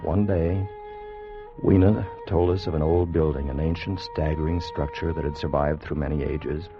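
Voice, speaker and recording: Deep-voiced, haunted time traveler, old radio. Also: male voice, steady tone. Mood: grim, haunted. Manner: dramatic, measured storytelling. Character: weary, fearful male time traveler recounting his ordeal. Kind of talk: 1950s radio drama acting and first-person narration. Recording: muffled old radio broadcast with music.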